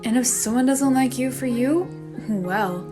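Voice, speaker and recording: A woman playing an earnest, caring friend giving frank advice in a dramatic monologue, sincere and measured. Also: fairly high pitch, over music, natural voice. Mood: sincere, gentle. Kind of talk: dramatic monologue for a voice acting demo reel.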